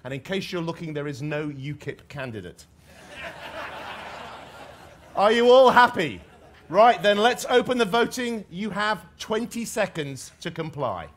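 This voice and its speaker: A man's voice: high-pitched, very animated, moderate pace, slight background noise. A man hosting a live science contest in front of an audience, with dry jokes and playful asides. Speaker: host. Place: large hall with audience.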